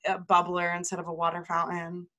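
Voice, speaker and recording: A young woman from Massachusetts with a slight local accent and a bright, forward voice. She tells stories in a bubbly, animated way and jumps in quickly to react. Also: fairly low pitch. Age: young adult. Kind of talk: casual chat.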